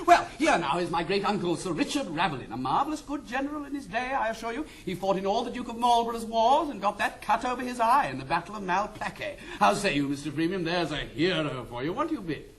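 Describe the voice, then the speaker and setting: An actor playing a carefree, spendthrift young English gentleman in classic stage comedy, with a flamboyant, jaunty delivery. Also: high-pitched, very animated, fast, hardly any pauses. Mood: playful, flippant.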